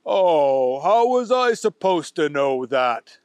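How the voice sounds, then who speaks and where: A man's voice: fairly high pitch, sing-song, slow, clean tone, no echo. A man voicing a dopey, greedy young man who loves candy and talks in a hesitant way. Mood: puzzled.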